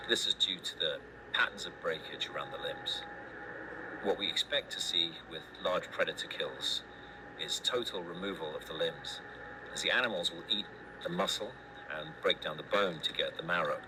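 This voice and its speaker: A man acting as a low-voiced, detached autopsy doctor. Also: close to an everyday voice. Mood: grim, clinical. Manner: formal, detached, deliberate. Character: clinical male doctor dictating a grim autopsy report. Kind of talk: scripted analog horror narration, recorded report. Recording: noisy analog horror tape.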